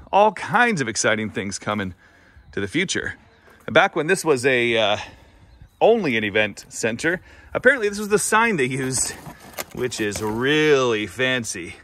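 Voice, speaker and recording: A man's voice: medium pitch, pushed and bright, a little hiss or hum. A man in his thirties vlogging casually outdoors, making dry, self-mocking jokes into a phone held at arm's length. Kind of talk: casual vlog narration. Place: outdoors on a farm. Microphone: phone camera held at arm's length.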